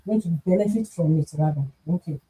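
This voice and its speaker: A woman's voice: fairly low pitch, brisk, dark tone, poor audio. A woman from Nigeria with a Nigerian accent, introducing herself on a livestream panel in an upbeat, exhorting way. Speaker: panel guest.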